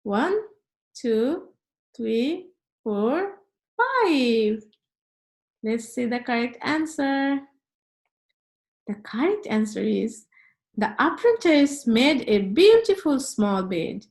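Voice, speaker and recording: A woman reading aloud and quizzing young children in a deliberate, instructional way, addressing them directly. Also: fairly high pitch, big pitch swings, darkish tone. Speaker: children's read-aloud host and quiz teacher. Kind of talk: storybook read-aloud and quiz for children.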